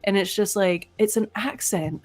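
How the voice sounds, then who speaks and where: A woman's voice: medium pitch, normal speed, a little mellow, soft background music. A woman from Scotland whose Edinburgh accent is mixed with American tones, co-hosting a casual live stream. She laughs often and fills her talk with "like". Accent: Edinburgh Scottish mixed with American. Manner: chatty, laughs often, frequent "like". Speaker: podcast co-host.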